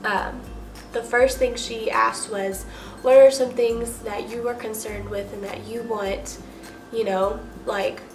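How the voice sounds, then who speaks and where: A woman's voice: fairly high pitch, fairly even intonation, slow pace. A young American woman with a nasal, stuffed-up voice from a cold, telling a personal story as a vlogger in a chatty, emphatic way full of filler "like". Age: young adult. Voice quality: nasal, congested. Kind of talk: casual personal storytime to camera. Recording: roomy echo with music underneath.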